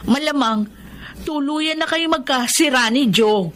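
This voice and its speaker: A woman's voice: high voice, measured pace. An actress playing a frank young female friend, scolding and advising in a Tagalog radio drama. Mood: admonishing.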